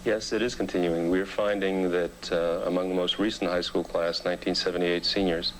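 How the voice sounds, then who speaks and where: A man giving a formal, measured interview as a research expert, recorded on an old documentary soundtrack. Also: low voice, little pitch movement, a little hiss or hum, rough recording. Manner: measured, precise.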